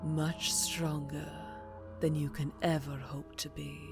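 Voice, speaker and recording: A woman playing a cruel, imperious vampire mistress in an ASMR fantasy roleplay, mock-tender and menacing, with breathy whispers. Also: sits low, little pitch movement, takes it slowly, over music, heavily acted. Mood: stern threat. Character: cruel, imperious vampire mistress gloating over her witch apprentice.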